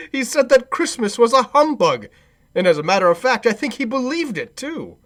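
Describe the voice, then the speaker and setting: A man's voice: very high-pitched, big pitch swings, normal speed, a bit bright, heavily acted. A man playing a cheerful, forgiving nephew in a radio play, speaking in a hearty, earnest way. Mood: amused, merry. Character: Scrooge's cheerful, forgiving, good-humored nephew.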